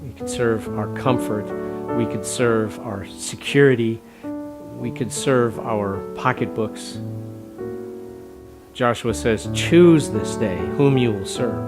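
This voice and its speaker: A pastor preaching at a slow, deliberate pace, setting out numbers step by step in a plain-spoken way. Guitar and piano play clearly underneath his voice. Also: mid-range voice. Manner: measured, plain-spoken, working through numbers aloud. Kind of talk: stewardship sermon. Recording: music bed underneath.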